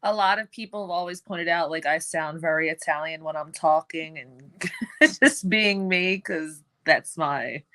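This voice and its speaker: A woman's voice: low voice, normal speed, bright, forceful tone. A young woman with a New York accent, a wrestler chatting as a podcast guest, brash and playful, laughing often. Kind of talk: casual podcast interview.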